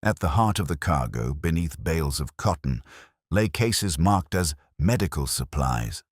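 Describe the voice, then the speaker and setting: A man reading a scripted dramatic mystery story in a measured, grave manner. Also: very deep voice, expressive, husky, dry recording, studio quality. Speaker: audiobook narrator.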